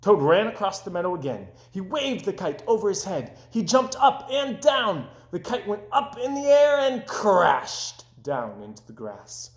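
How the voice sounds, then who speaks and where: A man reading a children's story aloud with animated narration, recorded in an echoey room. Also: high-pitched, a little slow, hardly any pauses. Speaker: storybook reader.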